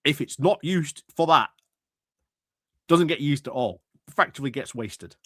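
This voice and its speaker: A man's voice: on the high side, expressive, moderate pace, bright, forceful tone, breathy edge, no room sound, clean studio sound. A man hosting a stream, giving rambling, sardonic commentary on local politics with frequent restarts and chuckles. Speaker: stream host commenting on council meetings.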